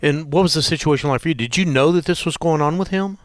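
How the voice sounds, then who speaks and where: A man hosting a podcast interview, asking questions quickly and lively. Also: a little hiss or hum. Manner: quick, animated, jumps in pitch on questions. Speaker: podcast host.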